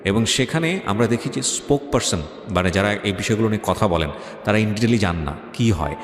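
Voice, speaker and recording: A man's voice: fairly low pitch, animated, fairly quick. A man with a Bangladeshi accent hosting a conversational radio interview, mixing English phrases into his talk. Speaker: radio show host. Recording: echoey with long reverb, music behind.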